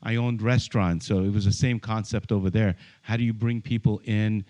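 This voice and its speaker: Male interview guest from Iran, low mellow voice, friendly, halting delivery. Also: fairly even intonation. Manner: friendly, hesitant, many fillers. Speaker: podcast guest, realtor. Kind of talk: casual interview conversation. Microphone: studio microphone with headphones.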